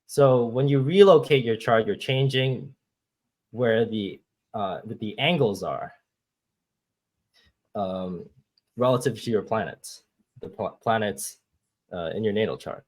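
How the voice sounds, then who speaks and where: A man's voice: fairly low pitch, takes it slowly, pauses often, darkish tone. Man from Hong Kong explaining step by step. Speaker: guest astrologer. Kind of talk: explaining with shared screen.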